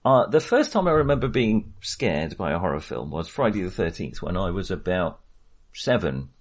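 Man with animated, low-pitched delivery. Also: moderate pace. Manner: hesitant, thoughtful, circling back on phrases. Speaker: podcast co-host. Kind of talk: casual film discussion.

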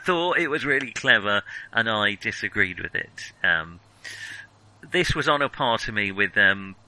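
Bright, forceful-voiced man talking film. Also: fairly low pitch, animated, unhurried, a little hiss or hum, rough recording. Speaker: podcast co-host reviewing films. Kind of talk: casual film discussion.

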